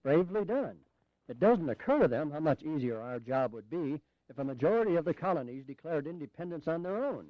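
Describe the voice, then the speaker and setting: Crusty, animated old delegate. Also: male voice, a little high, fairly quick, muffled recording. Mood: dryly sarcastic. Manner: grumbling, dryly humorous. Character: frail old delegate, crusty, droll, fearless. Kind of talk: audio drama acting.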